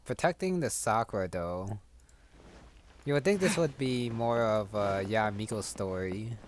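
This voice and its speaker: A man giving casual commentary on his gameplay, musing aloud in a low-key way. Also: lively intonation, a little slow, a little hiss or hum. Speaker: gamer commenting on his playthrough. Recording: loud game music and effects behind. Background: game music, combat crashes.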